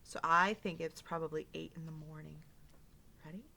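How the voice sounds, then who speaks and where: A woman's voice: low-pitched, fairly even intonation, moderate pace, vocal fry, bright, forceful tone. A woman teacher doing an interactive read-aloud for young children, explaining simply, asking questions and reading expressively.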